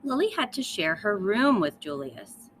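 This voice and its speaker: Lady teacher doing a kids book read aloud, really lively expressive voice, bit of noise in back. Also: mid-range voice, unhurried, rough recording. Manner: addresses young listeners directly, emphatic storytelling. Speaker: third grade teacher reading aloud.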